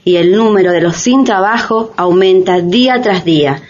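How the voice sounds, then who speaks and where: Formal mid-pitched woman narrator. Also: moderate pace, darkish tone. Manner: formal, expository. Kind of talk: scripted radio narration. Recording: dull radio recording.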